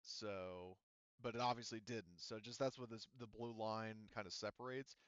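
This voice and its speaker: A man's voice: fairly low pitch, fairly even intonation, normal speed, clear, resonant tone, dry recording, muffled recording. A man running a tabletop roleplaying game as game master, explaining things casually in a rambling, improvised way.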